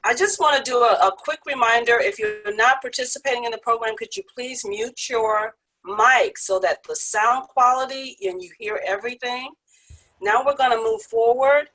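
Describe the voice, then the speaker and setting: A woman's voice: on the high side, normal speed, a bit bright, rough recording. A woman acting as moderator, hosting a virtual event and addressing the participants.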